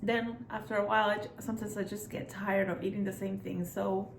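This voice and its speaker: A young woman from Puerto Rico with a Spanish accent, chatting casually at home in a weekend vlog, relaxed and rambling with fillers. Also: medium pitch, fairly quick, gravelly, reverberant, slight background noise. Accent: Puerto Rican Spanish. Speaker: vlogger.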